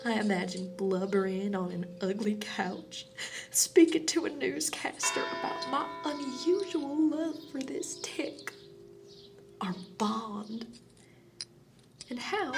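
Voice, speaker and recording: A woman performing an intimate radio play monologue as a dreamy, obsessively lovestruck woman, breathy and drifting into whispers. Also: high-pitched, exaggerated voice. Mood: anxious, grieving. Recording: music under the voice. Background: music.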